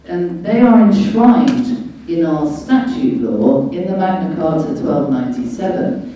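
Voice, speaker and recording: A woman's voice: low voice, expressive, unhurried, dark tone, a little hiss or hum. A woman giving an impassioned, emphatic public talk on legal matters, speaking as a lawyer at a meeting. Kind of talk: impassioned public speech explaining law. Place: indoor public meeting venue. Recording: echoey room, distant microphone.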